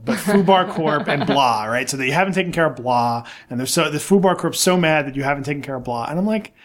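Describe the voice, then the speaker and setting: A man arguing casually on a podcast in an insistent, emphatic way, often repeating himself to press his point. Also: a little high. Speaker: nonprofit director and podcast co-host. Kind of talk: casual debate, opinionated discussion.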